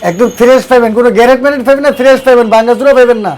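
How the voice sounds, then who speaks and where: A man who owns a tile shop, making a quick, repetitive sales pitch in his showroom. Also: steady tone, a little mellow. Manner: fast, repetitive, eager selling.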